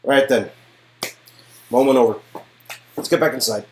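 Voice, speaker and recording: A man acting out a guarded adult man from a game, protective and wry, who opens up in halting, earnest confessions. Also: mid-range voice, voice pushed up, natural voice. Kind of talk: dramatic game dialogue performance.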